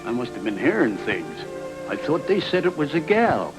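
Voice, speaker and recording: A man's voice: mid-range voice, very animated, moderate pace, music in the background, natural voice, rough recording. A man playing a wry fellow who ribs people, in a film noir radio drama. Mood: teasing.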